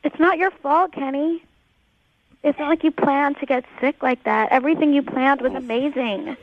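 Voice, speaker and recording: A woman's voice: sits high, fairly even intonation, moderate pace. A woman calling in to a radio show, earnest and coaxing as she pleads her case over the phone. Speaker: radio call-in guest. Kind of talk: pleading personal conversation. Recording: dull band-limited phone call on radio.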